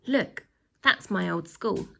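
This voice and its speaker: A woman's voice: on the low side, animated, slow pace, vocal fry, pushed and bright, heavily acted. A woman voicing an eager, mischievous young boy in a storybook, exclaiming his plans.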